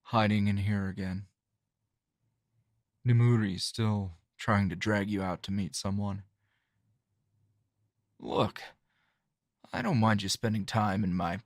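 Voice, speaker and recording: A man playing a calm, dry, teasing hero and coworker in an intimate ASMR roleplay, talking in a low-key, halting, conversational way. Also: no echo. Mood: awkward, casual.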